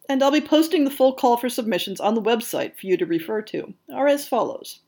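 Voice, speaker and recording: A woman hosting a podcast, reading scripted announcements in an articulate, measured way with emphatic stresses. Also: on the high side, a little mellow, slightly rough. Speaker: podcast host.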